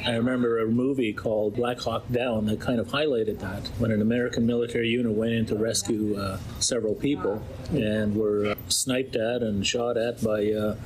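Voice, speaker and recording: A man's voice: lowish voice, level tone, normal speed, low-quality recording. A man interviewing a guest, asking direct, probing questions.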